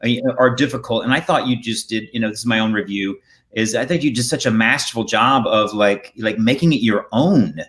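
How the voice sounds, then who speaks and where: A man hosting a casual interview over a video call, halting and rambling as he repeats words. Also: lowish voice, fairly bright tone. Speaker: host and theater director. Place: indoor room on a video call.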